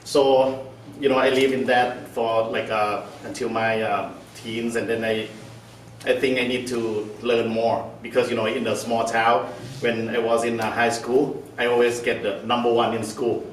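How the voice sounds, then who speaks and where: A man's voice: mid-range voice, little pitch movement, a little slow. A man from northern Thailand with a Thai accent gives an informal, self-deprecating and humorous talk to a small group. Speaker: guest speaker, restaurant owner. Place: indoor meetup room. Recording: echoey room, distant mic, faint noise.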